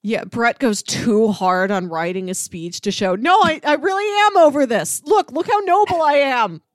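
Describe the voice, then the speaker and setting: A woman's voice: fairly high pitch, animated, normal speed, no room sound. Woman podcast co-host, close dry sound. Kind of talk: casual TV discussion chat.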